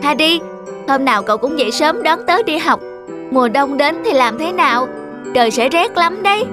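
A woman voicing a gentle, grateful little girl in an animated cartoon. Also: high-pitched, normal speed. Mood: grateful, concerned. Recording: loud children's music behind.

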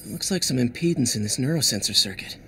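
An actor playing an eager young American superhero and lab assistant in a video game, speaking casually and earnestly. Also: male voice, medium pitch, animated, quick pace, vocal fry, a bit bright, slight background noise. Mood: focused. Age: young adult.

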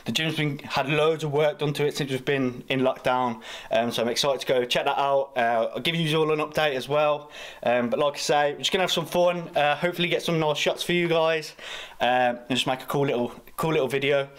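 A man's voice: a little high, steady tone, fairly quick, fairly bright tone, no echo. A young man, chatting casually to camera in a parked van, with plenty of ums and uhs. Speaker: fitness vlogger. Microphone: camera held at arm's length.

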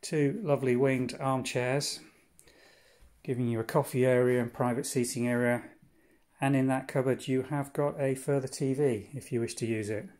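A man showing visitors around his holiday cottage, describing each room in an unhurried, itemizing way as he walks. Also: mid-range voice, fairly even intonation, a little mellow. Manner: unhurried, descriptive, pausing between items. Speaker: holiday cottage owner giving a tour. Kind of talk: guided walk-through house tour. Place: inside a cottage, walking room to room.

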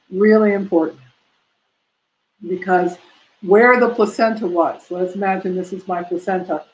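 A woman's voice: medium pitch, poor audio. An older woman from the United States teaching a live online massage class from a room at home, answering questions in a practical, chatty way with many fillers. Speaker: prenatal massage instructor. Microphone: laptop webcam on a video call.

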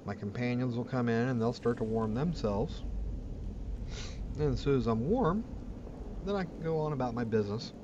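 A man's voice: mid-range voice, normal speed, pauses often, highs cut off. A man giving casual, plain-spoken commentary as he hosts a gaming video. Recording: clear background game noise. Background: game ambience.

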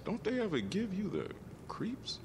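A folksy, talkative working man with a fairly high voice that leaps widely in pitch. He chatters on in plain speech. Music runs underneath this vintage TV drama soundtrack. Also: huge pitch swings, measured pace, full character voice. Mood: amazed. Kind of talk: 1960s TV drama acting.

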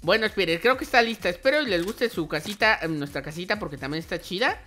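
Bright-voiced young man hosting game play. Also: sits high, lively intonation, fast talker. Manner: chatty, playful, addressing viewers directly. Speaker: host of a gaming channel. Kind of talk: casual gaming commentary with roleplay. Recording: music behind the voice. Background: music.

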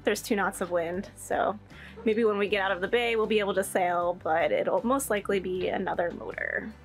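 A woman in her thirties talking casually to an action camera on a sailboat, relaxed and laughing easily. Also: mid-range voice, normal speed, over music. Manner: relaxed, chatty, laughs often. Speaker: sailing vlogger. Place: aboard a sailboat cabin.

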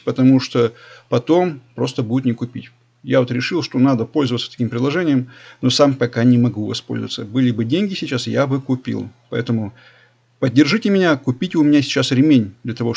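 A man's voice: mid-range voice, vocal fry, darkish tone, slightly rough. A man in his forties talking casually and enthusiastically as he scrolls through a shop site, repeating his recommendations often. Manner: chatty, repetitive, enthusiastic salesman-like recommending. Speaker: leather belt maker and channel host. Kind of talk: screen-recorded shopping review voice-over.

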